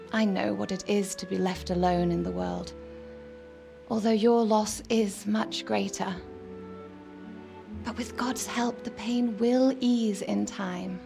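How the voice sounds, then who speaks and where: An actress playing a gentle, melancholy young medieval noblewoman in a video game, soft-spoken, formal and measured. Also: mid-range voice, vocal fry. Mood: soothing. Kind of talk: dramatic video game cutscene acting.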